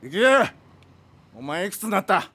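Bossy drunk old movie director, radio drama, real gravelly and swings up and down a lot. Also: male voice, high-pitched, sing-song, normal speed, fairly bright tone, full character voice. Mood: boozy, needling. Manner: gruff, commanding, needling. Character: domineering, drunken 73-year-old film director and father. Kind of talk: modern radio drama acting.

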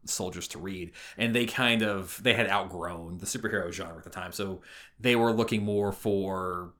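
A man's voice: deep voice, normal speed, sharp tone. A man co-hosting a podcast in casual chat, with a wry, joking way of talking. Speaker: podcast co-host.

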